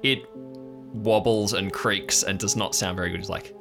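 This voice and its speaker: A man narrating an improvised audio drama as game master, in a halting, measured, descriptive way. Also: deep voice, steady tone, gravelly, over music.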